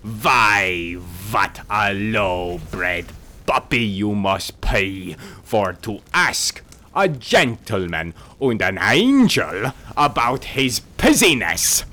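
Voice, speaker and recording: A man voicing a gruff German-accented spirit. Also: on the low side, very animated, drawn-out words, sharp tone, exaggerated voice. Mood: indignant. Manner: broken English, blustering, bossy. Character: gruff insolent male spirit, squat and quarrelsome. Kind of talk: audiobook character voice.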